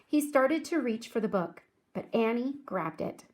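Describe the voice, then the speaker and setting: A woman reading aloud to children like a teacher, speaking clearly and deliberately in a storybook narration style. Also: on the high side, lively intonation. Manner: clear, deliberate, addresses young listeners.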